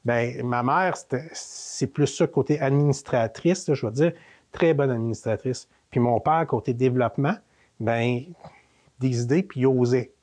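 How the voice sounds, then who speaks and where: A man's voice: mid-range voice, moderate pace, a little mellow. A man in his fifties with a Quebec French accent, telling family stories as an interview guest, with many fillers and restarts. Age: 50s. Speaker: interview guest, manor co-owner. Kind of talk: casual storytelling interview.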